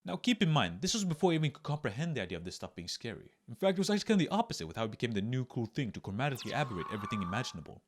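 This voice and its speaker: A man's voice: medium pitch, big pitch swings, very fast, hardly any pauses, noisy background. A man hosting a comedic scripted video essay, melodramatic and mock-serious, with sudden emphatic bursts. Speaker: YouTube video essayist and host. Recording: clear music under the voice.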